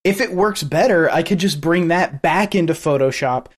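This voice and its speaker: A man's voice: a little high, moderate pace. A man co-hosting a podcast in casual chat, rambling and laughing often between thoughts. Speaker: podcast co-host.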